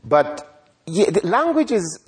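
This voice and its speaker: A writer who grew up in Ghana, answering interview questions in a reflective, unhurried way, often repeating words as he thinks. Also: high-pitched, sing-song, husky. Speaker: writer and guest.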